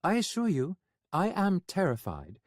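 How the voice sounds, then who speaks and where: Brief: a man as a prim, jittery sorcerer, shrill and forceful. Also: huge pitch swings, measured pace, no room sound, studio quality, heavily acted. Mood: nervous. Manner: high, shaky and shrill. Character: nervous, prim male sorcerer, shrill and fussy.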